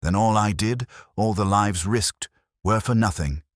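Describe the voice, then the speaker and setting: A man putting on a grieving countess, pitched up. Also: a little slow, close to an everyday voice. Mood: distressed. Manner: trembling, earnest, questioning. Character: grieving, exhausted noblewoman, anxious and dignified. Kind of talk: dramatised storybook reading.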